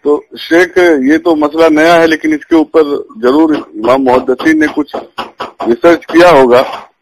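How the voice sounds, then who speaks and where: A man's voice: a little high, steady tone, unhurried. A man calling by phone to question a religious scholar, pressing his points in a formally polite but challenging way. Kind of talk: phone conversation, religious debate. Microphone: telephone. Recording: phone call recording.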